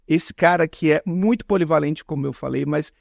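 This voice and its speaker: A man's voice: on the high side, fairly quick, vocal fry, darkish tone, no echo, clean studio sound. A man with a Brazilian accent hosting a podcast interview in a chatty, informal, complimentary way. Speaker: podcast host. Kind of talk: conversational interview.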